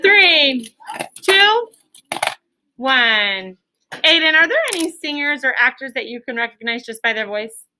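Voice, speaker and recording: A woman leading a classroom discussion as a teacher, in an animated, encouraging, prompting manner. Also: high voice, unhurried, pauses often, background noise.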